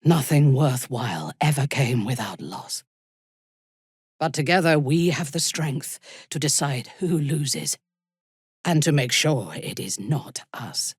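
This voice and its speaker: Haughty warrior woman, low husky voice, dramatic swoops, cold ambition, imperious menace, video game character. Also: expressive, slow, dry recording, studio quality, heavily acted. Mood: measured, persuasive. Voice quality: husky, breathy. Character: ruthless, haughty female warrior and commander, coldly ambitious.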